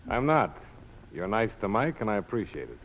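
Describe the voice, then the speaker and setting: A cool, cynical adventurer with a low voice that moves freely in pitch. He is wry, terse and commanding, in vintage radio style. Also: sing-song, normal speed, poor audio. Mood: wry, guarded. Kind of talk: 1940s radio acting.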